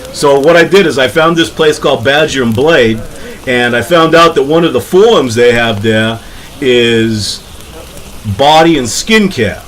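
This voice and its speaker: A man voicing a touchy, gruff, streetwise werewolf with a New York accent in a comic interview. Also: medium pitch, lively intonation, measured pace, close to an everyday voice, rough recording. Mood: sheepish. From Brooklyn, New York, as the figure. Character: brash, touchy Brooklyn werewolf, grumbling about his image. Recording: clear background noise.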